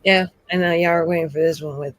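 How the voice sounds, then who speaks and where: A woman's voice: on the low side, steady tone, fast, no echo. A woman chatting casually as a player on a roleplaying show, dramatic, wry and rambling. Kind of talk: casual chat.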